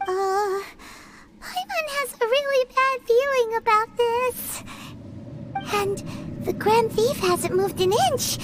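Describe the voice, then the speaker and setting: A woman voicing a tiny, timid and excitable childlike fairy companion in a video game, with a squeaky voice. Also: takes it slowly, heavy background noise. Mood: uneasy, frightened. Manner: refers to self in third person, nervous.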